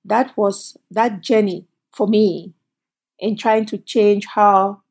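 A middle-aged woman from Cameroon tells her founder's story as an interview guest. She is animated and laughs easily, with a voice at a typical middle pitch. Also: slow, slightly rough.

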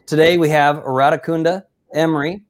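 A man's voice: on the high side, fairly even intonation, brisk. A man hosting a casual sports interview, upbeat and effusive in his praise of his guest. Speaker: interview host.

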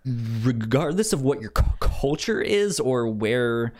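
A man co-hosting a casual review podcast, halting and stumbling over the starts of words. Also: medium pitch, sing-song, darkish tone. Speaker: podcast co-host reviewing anime.

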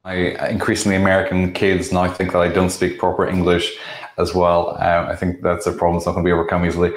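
A man moderating an online panel, brisk and steering the questions. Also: deep voice, monotone. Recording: echoey webinar audio.

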